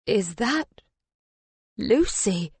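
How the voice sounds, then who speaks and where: An anguished husband in an audiobook drama, played by a woman, accusing and raw with grief. The delivery hisses, moans and cries out in slow, heavy phrases, recorded close and dry. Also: medium pitch, lively intonation, a little mellow, slightly rough, no room sound, pitched above the performer's own voice, exaggerated voice. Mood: shocked, frantic. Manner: hissing, moaning, crying out. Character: anguished, angry husband, adult man, grief-stricken and accusing.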